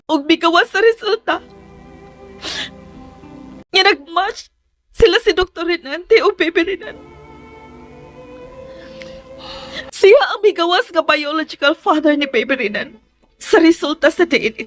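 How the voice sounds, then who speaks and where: A woman's voice: animated, normal speed, fairly bright tone. A woman playing an emotional, pleading young woman torn by secret love in a Cebuano radio drama. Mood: confiding. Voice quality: high-pitched. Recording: music under the voice.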